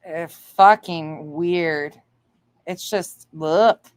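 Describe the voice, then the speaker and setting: Female livestream commentator, lower register, indignant and foul-mouthed, recorded close and dry. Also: extremely slow, clear, resonant tone, no room sound. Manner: blunt, indignant, heavily swearing. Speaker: livestream host. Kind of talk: livestream commentary reacting to another creator, chatting with viewers.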